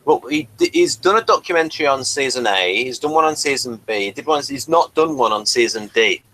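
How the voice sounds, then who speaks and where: Man with a very bright, sharp voice chatting. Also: medium pitch, lively intonation, fairly quick, rough recording. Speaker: host of a TV review show. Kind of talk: casual review chat.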